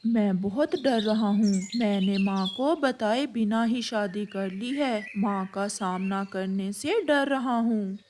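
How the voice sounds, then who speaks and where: A woman's voice: mid-range voice, slow, hardly any pauses, noisy background, pitched below the performer's own voice, natural voice. A woman voicing an anxious, apologetic young male bird, a devoted son, in a cartoon story. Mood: anxious, ashamed. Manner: apologetic, hesitant.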